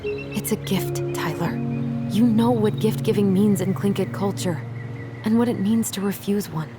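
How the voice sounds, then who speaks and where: A woman's voice: mid-range voice, moderate pace, lightly acted. A woman playing a caring, wry young sister in naturalistic, conversational video game dialogue. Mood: earnest pleading. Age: young adult. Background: game music.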